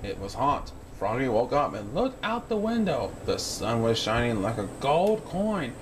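A man's voice: medium pitch, very animated, a little slow, noisy background. A young man reading a picture book aloud to children, playfully acting out the sound effects. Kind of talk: children's read-aloud narration.

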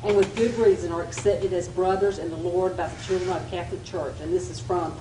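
A woman's voice: on the low side, steady tone, brisk. A woman student in a class, reading aloud and earnestly questioning the teacher. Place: church classroom. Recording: distant voice in echoey noisy room.

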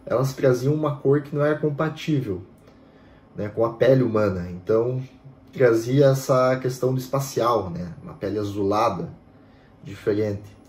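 A man's voice: mid-range voice, moderate pace, echoey room. A man in his thirties, a music history host, explaining steadily to camera at home, often tagging sentences with "né". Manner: steady, explanatory, frequent "né" fillers. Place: home room with bookshelves.

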